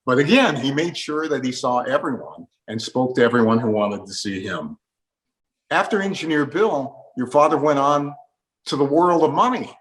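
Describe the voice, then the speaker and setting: A man's voice: medium pitch, very animated, normal speed, fairly bright tone, rough recording. Older American man interviewing and reminiscing. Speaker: interviewer with a radio background. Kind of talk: conversational interviewing with personal recollections.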